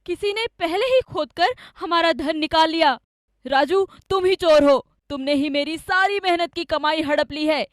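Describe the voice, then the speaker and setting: A woman's voice: very high-pitched, moderate pace, no room sound, studio quality, voice pushed up. A woman voicing a scheming, greedy village man with an insistent, dramatic manner in a cartoon story. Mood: angry, accusing. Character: scheming greedy village man, falsely accusing his friend.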